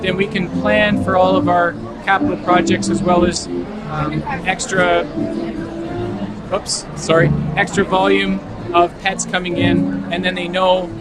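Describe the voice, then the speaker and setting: A man volunteering at a charity event, explaining donation details in a steady, matter-of-fact way. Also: sits high, measured pace. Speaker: volunteer, co-host. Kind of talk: casual live-stream chat. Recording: loud music behind.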